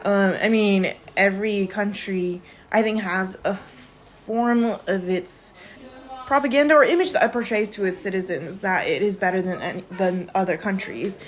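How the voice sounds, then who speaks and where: A woman's voice: mid-range voice, normal speed. A young woman from Minnesota, a student, giving firm opinions and rhetorical questions in a class discussion. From Minnesota, USA. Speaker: student panelist.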